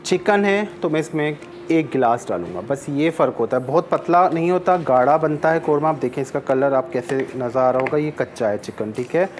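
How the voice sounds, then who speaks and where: A man's voice: medium pitch, animated, brisk, few pauses, slight background noise. A man, explaining a recipe step by step as a TV cooking show chef in a studio kitchen. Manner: instructive, repeats steps, switches between Urdu and Sindhi. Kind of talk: cooking demonstration.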